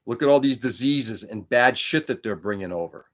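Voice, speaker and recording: A man's voice: medium pitch, lively intonation, moderate pace. A man whose first language is Greek, hosting a casual livestream from a room on his laptop, rambling and blunt with frequent swearing. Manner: rambling, profane, opinionated. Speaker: livestream host building model kits. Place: indoor room.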